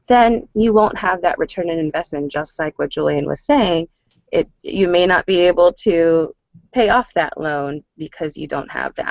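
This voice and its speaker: A woman's voice: low-pitched, creaky, no echo. A woman working as a college advisor, explaining and answering questions on a conference call. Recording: dull lo-fi conference call line.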